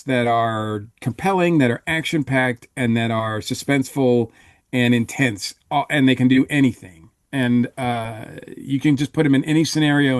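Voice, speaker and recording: Halting male podcast panelist, dry close sound. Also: mid-range voice, no room sound. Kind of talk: casual review discussion.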